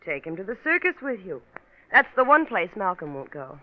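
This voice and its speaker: A woman playing a brisk, blunt, worldly newspaperwoman in 1940s radio drama. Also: medium pitch, sing-song, natural voice. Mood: brisk, knowing. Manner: blunt, wry, knowing. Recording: very muffled old radio recording.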